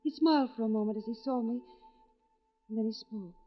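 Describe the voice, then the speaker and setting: A woman's voice: fairly high pitch, brisk, soft background music, natural voice. An actress playing an anguished, overwrought young woman in a 1940s radio mystery. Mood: haunted, wistful. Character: anguished young woman fleeing a lonely island. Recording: muffled vintage radio recording.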